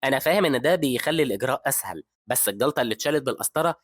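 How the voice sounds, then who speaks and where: A cool-headed young doctor hero who lays out the facts steadily, as an Egyptian narrator plays him. His lines come out very quickly. The sound is close and dry. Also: medium pitch, no echo, lightly acted. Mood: steady. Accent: Egyptian Arabic. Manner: steady, matter-of-fact. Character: calm, confident young male doctor hero.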